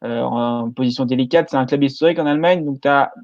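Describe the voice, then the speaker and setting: A man talking casually on a podcast, hesitant and filling pauses with euh. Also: mid-range voice, dull audio. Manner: hesitant, many fillers. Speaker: podcast panelist.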